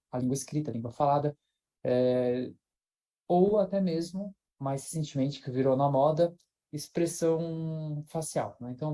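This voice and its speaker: A man's voice: on the high side, mellow. A man, an invited researcher giving a loose academic talk over a video call, thinking aloud with lots of fillers and restarts. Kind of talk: informal academic talk in a live webinar.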